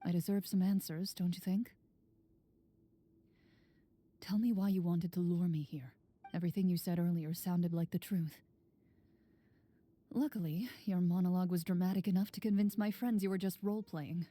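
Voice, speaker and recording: An actress playing a composed, stern champion duelist in a video game, with a measured, formal, probing delivery. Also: female voice, on the low side, steady tone, mellow, natural voice. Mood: firm, probing. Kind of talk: scripted game dialogue acting.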